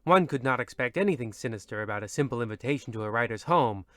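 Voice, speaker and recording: A world-weary horror-show narrator acted by a man, mid-pitched and forceful, recorded close and dry. He delivers old-radio narration with ominous, grumbling theatricality. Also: animated, fast talker, no room sound, close to an everyday voice.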